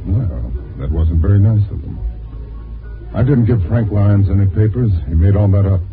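A man's voice: on the low side, normal speed, soft background music, close to an everyday voice. An actor playing a hard, cagey, gray-haired schemer down on his luck in a 1950s radio drama, on a tinny recording. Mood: cagey, cool. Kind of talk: 1950s radio noir acting. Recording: tinny band-limited old radio recording.

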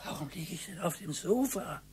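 An actor playing a grumpy, bored older retired husband who grumbles and pities himself, in a radio drama. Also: male voice, on the high side, lively intonation, moderate pace, noisy background, natural voice. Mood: self-pitying. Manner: grumbling, self-pitying, whiny.

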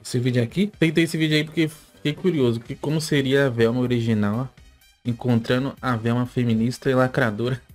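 A man's voice: mid-range voice, fairly even intonation, a little fast, soft background music. A young man with a Brazilian accent giving casual, slangy reaction commentary with chuckling asides, close to a desk mic. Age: young adult. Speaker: reaction video host. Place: small indoor gaming setup. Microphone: close desk mic with headphones.

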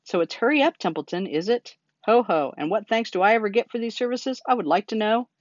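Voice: mid-range voice, expressive, a little fast, dry recording, highs cut off, voice pushed up, lightly acted. A reader voicing a self-pitying, sarcastic male rat from a children's storybook, complaining in a mocking, exaggerated way. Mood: sarcastic grievance. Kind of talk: children's storybook character reading.

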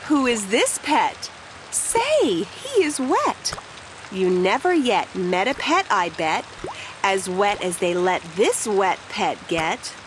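Woman reading rhymes, very lively pitch. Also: noisy background. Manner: expressive, deliberate storytelling for children. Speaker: children's book reader. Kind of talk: read-along picture book narration, rhyming verse.

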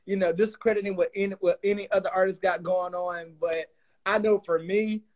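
A man's voice: sits high, steady tone, fairly quick. A young man hosting a casual interview over a video call, effusive and full of fillers and restarts. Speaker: podcast host. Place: small room indoors.

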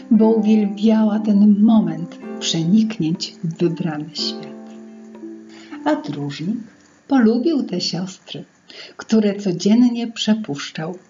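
Slow, animated middle-aged woman storyteller. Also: lowish voice. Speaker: author reading her own fairy tale. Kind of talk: expressive storytelling for children. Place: small indoor room at home. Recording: dull lo-fi sound, music behind. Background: music.

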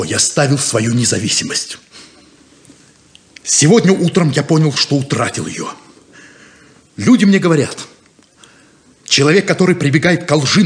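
An actor playing a proud, stubborn, independent small-town deputy sheriff in a 1970s radio play. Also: male voice, medium pitch. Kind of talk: 1970s Soviet radio theatre acting.

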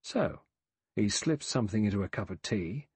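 Cocksure audiobook detective type, acted by a male reader: aggressive, colloquial, very lively intonation, slightly husky. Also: lowish voice, normal speed, dry recording. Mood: aggressive theorizing. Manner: forceful, colloquial, rhetorical questioning. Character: blunt, aggressive male investigator, suspicious and cocksure.

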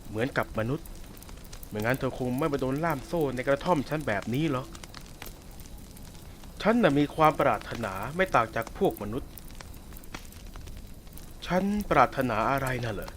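A man voicing a sadistic, possessive werewolf who taunts a captive listener in a roleplay audio drama. He speaks softly and teasingly, with a menacing edge. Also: mid-range voice, expressive, moderate pace, long pauses, slight background noise, close to an everyday voice. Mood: taunting.

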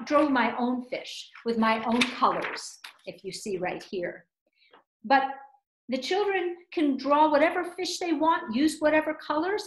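A woman in her fifties speaking as a children's storyteller, with a highish, very animated voice. She phrases things slowly and emphatically, recorded in a roomy space at home. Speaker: storyteller and presenter. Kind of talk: expressive storytelling for young children. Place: room at home. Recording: roomy indoor echo.

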